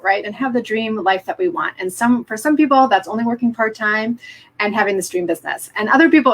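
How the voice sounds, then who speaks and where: A middle-aged woman presenting live online as a business coach, upbeat and encouraging, speaking directly to her viewers. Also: on the high side.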